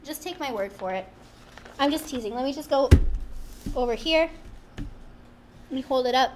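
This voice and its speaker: Young female demo host, high girlish voice, bubbly and self-teasing, small indoor studio with faint noise underneath. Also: expressive, moderate pace. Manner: chatty, playful, self-teasing. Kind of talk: live-streamed product demo.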